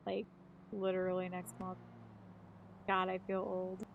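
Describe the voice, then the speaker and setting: A woman's voice: fairly low pitch, monotone, unhurried, creaky, narrow, tinny audio, a little hiss or hum. A woman in her thirties, a live streamer chatting casually, rambling and swearing freely. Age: 30s. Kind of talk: casual chat.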